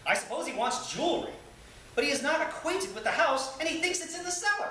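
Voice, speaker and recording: A smug old-time husband played by a man reading aloud explains things with wry, mock-patient calm. The pitch is high for a man and swoops around. It is a live storybook-style reading in a very echoey room. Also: quick pace, exaggerated voice. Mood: dry, unconcerned. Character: smug, dry, unhurried 19th-century husband from a humorous memoir. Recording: echoey room, distant camcorder mic, background noise.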